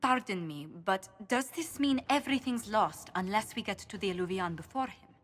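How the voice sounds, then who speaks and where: A woman plays an anxious court adviser in a fantasy video game. She speaks politely in a mid-pitched voice, with concern in her delivery. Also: normal speed, fairly bright tone, natural voice. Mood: worried, polite. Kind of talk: dramatic fantasy game dialogue.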